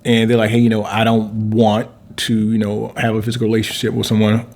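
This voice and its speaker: Man in his forties, relationship coach, casual and polite, thinks out loud with lots of fillers. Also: on the low side, monotone, a little fast, vocal fry. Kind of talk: casual conversational chat.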